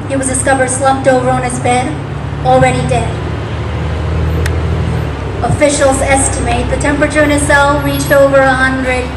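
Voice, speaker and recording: A woman reading scripted narration for a dramatic reading in a formal, measured reporting manner. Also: high-pitched. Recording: distant echoey room with background noise.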